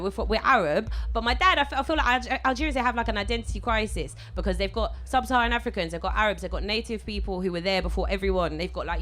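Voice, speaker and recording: A woman's voice: medium pitch, fairly bright tone. A woman from the UK hosts a panel at a festival. She speaks in an easygoing way and thinks things through as she talks. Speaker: panel host.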